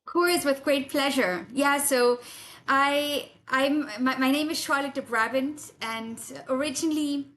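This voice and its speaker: A woman's voice: sits high, steady tone, unhurried, a bit bright. A woman from Germany, a negotiation expert, answering interview questions politely and articulately. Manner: articulate, polite, some restarts.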